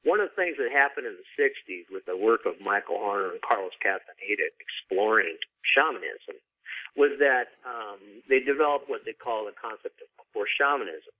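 A man with a low voice talks in a casual, explanatory way as an author being interviewed. His speech is informal and full of conversational asides. The sound is narrow and phone-like, dry and without room echo. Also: lively intonation, normal speed. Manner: informal, frequent "you know" asides.

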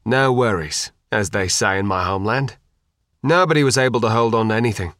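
A calm male flotilla commodore, as a man narrating an audiobook plays him. He is authoritative yet easygoing and speaks directly and informally. The recording is dry and close. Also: fairly low pitch, fairly quick, no room sound, lightly acted. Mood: calm, commanding. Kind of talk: audiobook character dialogue.

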